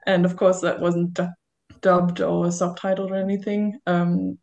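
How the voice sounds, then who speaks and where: A woman's voice: on the low side, level tone, measured pace, gravelly, a little mellow. A woman from Denmark, a native Danish speaker, chatting as a guest in a friendly way. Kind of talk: casual chat.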